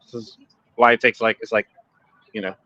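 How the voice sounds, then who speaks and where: A man joining an online panel from a public place by phone, playful and loud with slangy banter. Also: fairly low pitch, level tone, moderate pace, muffled recording. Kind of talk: casual streetwise banter. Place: public place with people around.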